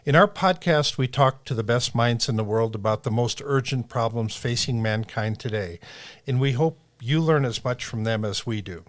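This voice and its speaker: A man's voice: mid-range voice, normal speed, no echo. A man hosting a podcast, reading a scripted show introduction in a polished way. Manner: read-aloud, polished delivery. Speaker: podcast host, futurist.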